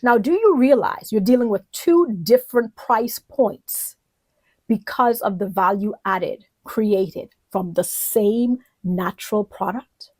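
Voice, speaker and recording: A woman from the Bahamas giving an instructional lecture in a deliberate, emphatic way, often posing rhetorical questions. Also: mid-range voice, a little mellow, no echo. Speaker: political leadership lecturer.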